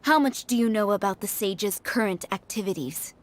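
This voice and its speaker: An actress playing a composed, enigmatic woman in a video game, speaking in a measured, solemn and persuasive way. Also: mid-range voice, animated, slight background noise, lightly acted. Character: composed, enigmatic woman preaching judgment and atonement. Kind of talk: dramatic video game voice acting.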